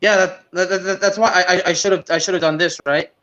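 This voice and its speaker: A man co-hosting a sports podcast in casual chat, haltingly repeating words as he goes. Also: high-pitched, steady tone, fairly bright tone, muffled recording.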